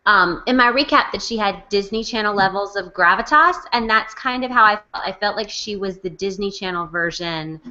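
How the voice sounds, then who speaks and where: A woman co-hosts a casual chat over a video call, giving emphatic, blunt opinions. Her voice is bright and sharp, and the call audio comes through dull and lo-fi. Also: mid-range voice, lively intonation, normal speed, few pauses. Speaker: podcast co-host, recap writer.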